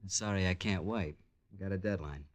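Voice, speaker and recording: An actor playing a brusque, cocky newspaper reporter in a 1940s radio drama, curt and slangy. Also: male voice, low-pitched, moderate pace, natural voice. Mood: cocky, impatient.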